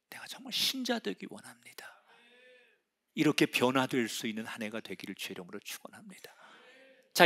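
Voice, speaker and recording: A middle-aged male pastor preaching a sermon, telling the story dramatically and swinging between hushed whispers and loud exclamations. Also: medium pitch.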